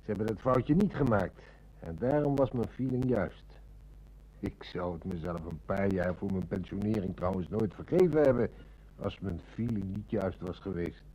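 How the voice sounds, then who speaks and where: Radio play inspector nearing retirement: lowish, mellow voice, measured and shrewd. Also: male voice, poor audio. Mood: satisfied, reflective. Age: older. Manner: measured, methodical, polite questioning. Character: shrewd, methodical police inspector near retirement. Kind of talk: Dutch radio play acting.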